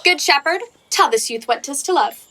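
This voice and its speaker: A woman's voice: high-pitched, animated, moderate pace, sharp tone. A young woman acting a petulant, infatuated shepherdess in a Shakespearean radio play. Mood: indignant. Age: young adult.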